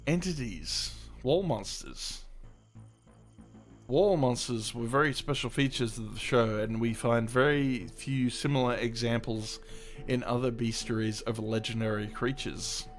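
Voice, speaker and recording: Guy livestreaming a game, medium pitch, rambly and low-key, creepy ambient music clearly behind him. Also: measured pace. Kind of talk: casual game commentary and reading on-screen text aloud.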